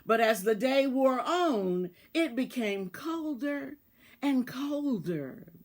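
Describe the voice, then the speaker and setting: A woman reading a children's storybook aloud as an expressive narrator. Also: sits high, slow. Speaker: storybook reader.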